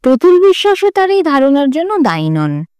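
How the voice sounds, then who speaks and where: A woman reading a literary story aloud in a dramatic, expressive narrator's style. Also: sits high, moderate pace, no echo. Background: music.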